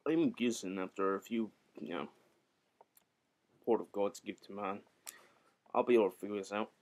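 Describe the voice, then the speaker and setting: A young man in a home basement rambling through comic history in a deliberately bad, put-on Scottish accent, swearing and a bit tipsy. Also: lowish voice, fairly even intonation, a little mellow. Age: young adult. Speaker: vlogger. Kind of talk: rambling comedic history vlog.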